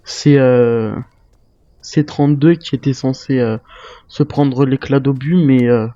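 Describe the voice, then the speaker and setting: Guy roleplaying a soldier in a zombie game, soft mellow tone, kinda hesitant, muffled mic. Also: mid-range voice, steady tone, slow, dry recording. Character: military survivor in a zombie apocalypse. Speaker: video game role-player. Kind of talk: improvised in-character game chat.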